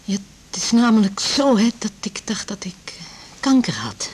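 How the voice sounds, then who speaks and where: An actress in a radio drama playing a chatty, anxious divorced patient in her fifties, rambling and confiding in a breathy voice. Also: mid-range voice, sing-song, moderate pace, darkish tone. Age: 50s. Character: chatty, anxious fifty-year-old divorced female patient. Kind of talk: naturalistic radio drama dialogue.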